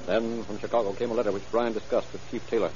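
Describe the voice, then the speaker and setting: An actor as a grave crime-show narrator, formal and dramatic in scripted vintage radio style. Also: male voice, lowish voice, steady tone, fast talker, a little mellow, slight background noise, lightly acted. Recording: muffled old radio recording.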